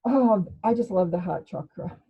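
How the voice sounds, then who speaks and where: An older woman speaks straight into a webcam in her living room, her voice loud, heartfelt and a touch emotional as she teaches. She often backtracks and restarts her sentences. The audio is slightly dull and lo-fi. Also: lowish voice, normal speed, breathy edge. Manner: loud, warm, emotional, with many restarts and fillers. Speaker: energy healing teacher. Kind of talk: casual spoken lesson to webcam. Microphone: webcam at desk.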